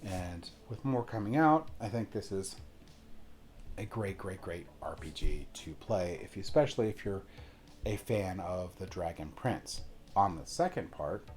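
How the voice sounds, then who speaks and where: Low voiced guy doing a casual board game review, kinda rambly, really into it, repeats himself to stress stuff. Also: expressive, a little slow, darkish tone. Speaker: tabletop game reviewer, host. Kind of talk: casual review, explaining a rulebook.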